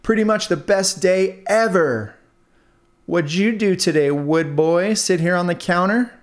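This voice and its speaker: A man's voice: high voice, unhurried, pitched above the performer's own voice. A man voicing a boastful, sneering talking earbud in a children's storybook, mocking and bragging. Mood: smug, mocking.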